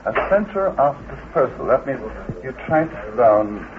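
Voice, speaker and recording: A man's voice: mid-range voice, very animated, a little slow. A man conducting an unscripted interview, asking questions as he goes. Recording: tinny phone-like recording, clear noise.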